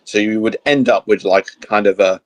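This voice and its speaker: Lower-voiced man, halting casual talker. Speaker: co-host of a geek discussion show. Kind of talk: casual chat, pitching ideas.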